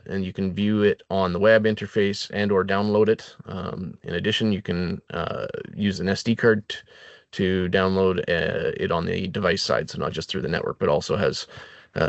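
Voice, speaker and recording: Low-voiced man presenting a product webinar. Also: fairly even intonation, normal speed, gravelly, dry recording. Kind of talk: explanatory product training presentation.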